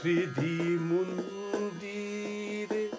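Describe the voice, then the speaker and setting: A woman's voice: mid-range voice, steady tone, drawn-out words. A woman delivering devotional kirtan verse in a sung, lyrical style, with Indian classical music. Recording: long reverb, faint music behind.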